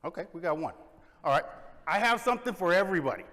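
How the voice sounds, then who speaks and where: A middle-aged man presents at a conference, full of energy and often polling the audience for a show of hands. His voice sits a little high for a man. A long reverb trails his words through the big hall. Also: quick pace. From Bahamas. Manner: energetic, engages audience with questions and shows of hands. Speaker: conference speaker.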